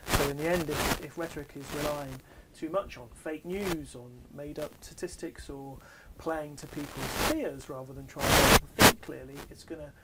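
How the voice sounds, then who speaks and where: A man's voice: a little high, fairly even intonation, moderate pace, hardly any pauses. A man answering an interview question fluently and articulately. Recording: loud noise behind.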